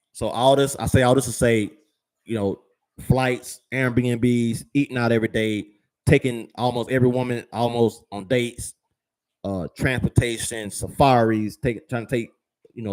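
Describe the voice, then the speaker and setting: A man giving viewers casual travel advice, rambling with fillers and repeated words and using slang. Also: medium pitch. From United States. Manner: uses slang, addresses viewers as fam and y'all. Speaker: travel vlogger.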